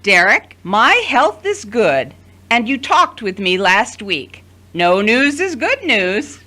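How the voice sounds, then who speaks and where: A woman's voice: on the high side, very animated, slow, sharp tone, exaggerated voice. A woman acting in an audio drama as a middle-aged mother, motherly and loving with a dry, hesitant manner. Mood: dryly exasperated. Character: worried, dryly loving middle-aged mother of grown children.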